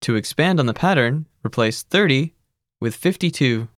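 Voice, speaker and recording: A narrator giving measured, scripted instructions in a language course. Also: mid-range voice, no room sound, studio quality. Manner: even, measured teacherly instructions.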